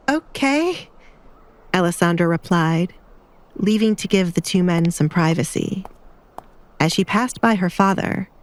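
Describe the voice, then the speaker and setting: A woman narrating a scripted dramatic story as an audiobook narrator. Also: on the low side. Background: background music.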